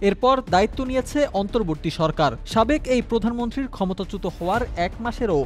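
A man with a Bangladeshi accent narrating a scripted news report in a formal, measured manner. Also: sits high, animated. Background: background music.

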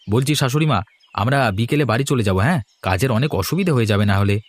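A man voicing a brisk, impatient son-in-law. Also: medium pitch, animated, natural voice. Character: son-in-law, busy and eager to leave. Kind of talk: cartoon voice acting.

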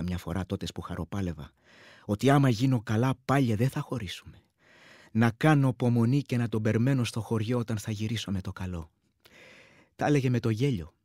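An actor playing a wounded village war veteran who reminisces intimately and plainly, with a husky voice, in a radio play monologue. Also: male voice, fairly low pitch, darkish tone, no room sound, studio quality, natural voice. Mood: wistful.

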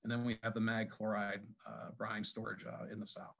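A man giving a technical presentation at a conference, speaking haltingly with many fillers and restarts. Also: lowish voice, completely flat delivery, creaky, a bit bright. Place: conference session room.

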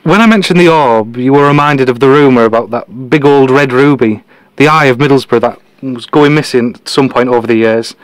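Cocky know-it-all detective guy man acting, deadpan delivery, audio drama, dry studio sound. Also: mid-range voice, brisk, no room sound, lightly acted, poor audio. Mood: cocky, teasing. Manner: deadpan, matter-of-fact. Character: cocky, teasing male detective genius. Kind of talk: podcast-style audio drama dialogue.

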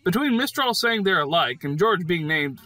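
A man's voice: high voice, very animated, normal speed, bright, forceful tone, dry recording. A man delivering scripted commentary on a video game.

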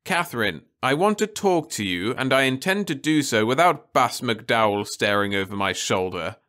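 A man's voice: mid-range voice, lively intonation, moderate pace, pushed and bright. A man voicing a stern, earnest middle-aged mother who speaks firmly and insistently. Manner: firm, insistent.

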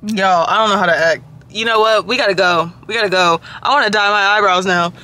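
A woman's voice: mid-range voice, a little fast. A woman in her twenties vlogging casually to a phone camera in her car, chatty and slangy. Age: 20s. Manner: slangy, chatty, animated storytelling. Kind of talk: casual vlog chat to camera. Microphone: phone camera held close.

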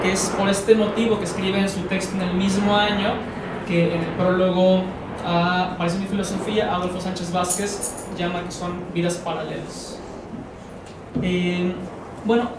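Young male lecturer, Mexican accent, high voice, measured academic delivery, picked up from far off in a roomy space. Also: background noise. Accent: Mexican Spanish. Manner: measured, explanatory, frequent hedging fillers. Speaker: course lecturer. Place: living room with sofas, indoors. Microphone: far camera. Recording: echoey room from far off.

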